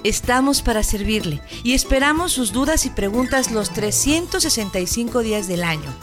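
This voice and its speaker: Actress as Mexican helpline operator, slightly high voice, polite, informative, scripted, clear music behind. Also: female voice, lively intonation, moderate pace, close to an everyday voice. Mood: courteous, informative. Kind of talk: scripted health announcement.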